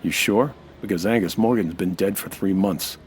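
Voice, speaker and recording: An actor playing a suspicious, hard-boiled American nightclub owner who narrates in a wry, terse radio noir style. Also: male voice, low-pitched, moderate pace, slight background noise, lightly acted. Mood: sceptical. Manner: wry, terse, tough-talking. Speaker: actor playing lead detective-style hero. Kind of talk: old-time radio noir monologue and dialogue.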